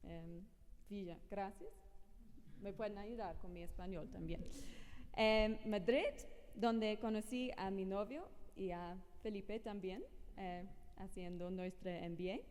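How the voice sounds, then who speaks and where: A woman from England speaking Spanish with an English accent, slow and careful, from prepared notes. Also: medium pitch, a little mellow, in a large hall. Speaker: program director. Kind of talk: short personal introduction on a panel.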